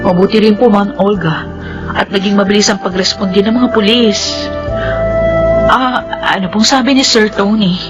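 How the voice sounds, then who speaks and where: Actress as a worried, earnest woman, mid pitch. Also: steady tone, normal speed, long pauses, loud music behind the voice, natural voice. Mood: relieved. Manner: narrates her actions aloud, earnest. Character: worried, loyal female employee visiting her boss. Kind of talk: Filipino radio drama acting.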